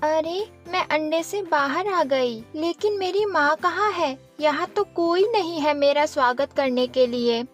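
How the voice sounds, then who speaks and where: A woman voicing an eager, innocent newly hatched chick in a children's animated story, childlike and earnest. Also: high voice, measured pace, few pauses, faint music behind, voice pushed up. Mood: excited.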